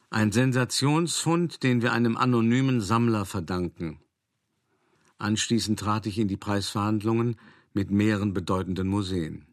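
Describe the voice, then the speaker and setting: An actor playing an urbane, self-satisfied art dealer, telling his story in a measured, articulate radio play monologue. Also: fairly low pitch, no room sound, studio quality, close to an everyday voice. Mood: smug, satisfied.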